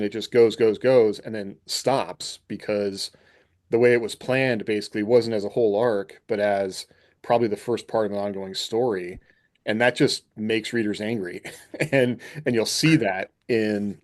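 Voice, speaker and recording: A man with a fairly low voice hosts a casual podcast discussion. He explains things in a conversational, chatty way. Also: a little mellow. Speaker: podcast host. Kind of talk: casual discussion of fiction writing.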